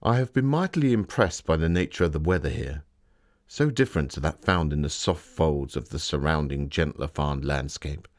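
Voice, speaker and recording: Gentleman author, male, actor in character, extremely deep register. Genteel, measured exhibit narration introducing his story. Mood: impressed, genial.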